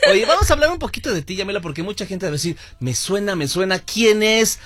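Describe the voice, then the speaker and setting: A man's voice: sits high, animated, fast, fairly bright tone, no room sound. A man with a Mexican accent hosting a radio show with playful, effusive, joking chat. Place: radio studio booth.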